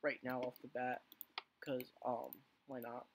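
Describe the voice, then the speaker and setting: A man with a hoarse voice he says he is losing, giving rambling casual let's play commentary full of fillers and restarts. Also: mid-range voice, flat delivery, measured pace, a little hiss or hum. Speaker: gaming channel host.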